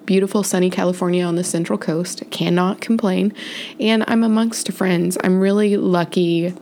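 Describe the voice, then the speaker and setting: A woman's voice: on the low side, fairly even intonation, moderate pace, dark tone, slight background noise. A woman hosting her own podcast, sounding stuffy and congested as she rambles through a chatty, self-correcting personal update early in the morning. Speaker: podcast host. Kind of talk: casual solo life update.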